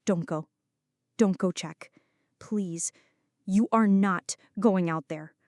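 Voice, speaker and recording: A woman playing a flirty, anxious female friend in an intimate ASMR roleplay, speaking softly and dramatically, close to the listener. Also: mid-range voice, slow pace, dry recording, close to an everyday voice. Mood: scared, pleading. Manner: soft, close, dramatic pleading and confiding.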